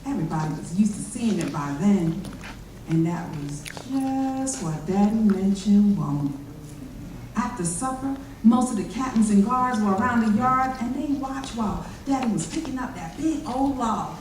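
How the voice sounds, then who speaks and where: Lively woman storyteller in an echoey hall. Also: mid-range voice, unhurried. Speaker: storyteller in readers theater. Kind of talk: animated folk-tale narration. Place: large echoey chapel during a live performance. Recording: very echoey hall, distant mic, faint noise.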